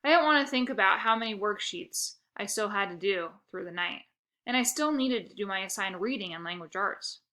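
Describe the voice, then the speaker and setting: A woman narrating a children's book aloud with expressive, first-person storytelling. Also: medium pitch, a little fast.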